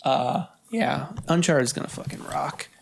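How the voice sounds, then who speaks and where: Podcast co-host, medium pitch, casual chat, freely profane. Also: normal speed, vocal fry. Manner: heavy casual swearing. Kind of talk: casual movie news chat.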